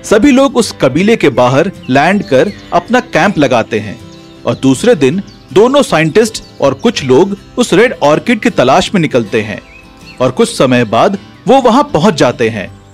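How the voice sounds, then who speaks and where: Male story narrator, scripted read, highish animated voice, music bed. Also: measured pace.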